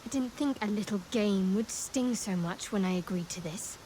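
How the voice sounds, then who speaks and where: A woman playing a guarded, wry young cleric companion in a fantasy video game, measured and intimate. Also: mid-range voice, warm tone, a little hiss or hum, close to an everyday voice, low-quality recording. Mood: wry, flattered. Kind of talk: video game dialogue acting.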